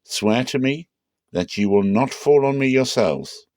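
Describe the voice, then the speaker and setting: A man playing a defiant, mighty warrior in a scripture reading, forceful and declamatory. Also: mid-range voice, lively intonation, measured pace, no room sound, studio quality, voice pushed up, natural voice. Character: defiant, mighty warrior strongman of ancient Israel.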